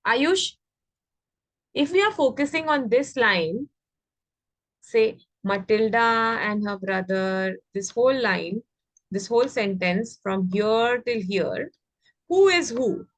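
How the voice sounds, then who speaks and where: A woman's voice: a little high, lively intonation, takes it slowly, no echo, rough recording. A woman with an Indian accent teaching an interactive online English lesson to young children, prompting them with repeated questions. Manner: instructive, repetitive questioning, prompting. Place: online video class.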